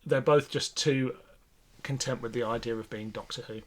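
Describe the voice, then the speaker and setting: A man's voice: medium pitch, steady tone, moderate pace. A man co-hosting a podcast in casual conversation, informal and thinking aloud as he goes. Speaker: podcast host. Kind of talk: casual discussion.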